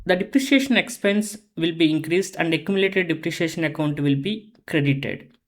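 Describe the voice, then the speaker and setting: An instructor giving a methodical, step-by-step explanatory lesson on accounting software, often repeating phrases while explaining. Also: mid-range voice, normal speed.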